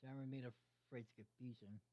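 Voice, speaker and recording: Amateur narrator reading fanfic out loud, trips on words and repeats bits, muffled lo-fi mic, mid pitch. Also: fairly even intonation. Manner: halting, stumbles over words and repeats phrases. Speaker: fan fiction reader. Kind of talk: amateur read-aloud narration of a fan fiction story. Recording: dull lo-fi microphone sound.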